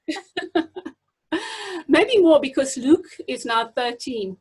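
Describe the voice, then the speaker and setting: A woman's voice: high-pitched, sing-song, takes it slowly, a little mellow. A middle-aged woman interviews casually as host over a video call from home. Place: home room indoors.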